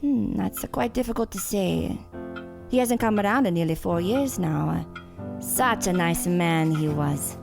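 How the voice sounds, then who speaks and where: A woman's voice: on the low side, animated, measured pace, creaky, music in the background. A woman playing a genteel, sorrowful nun in an audio drama, speaking formally and theatrically, with hesitations. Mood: wistful. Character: genteel, sorrowful nun, former orphanage headmistress.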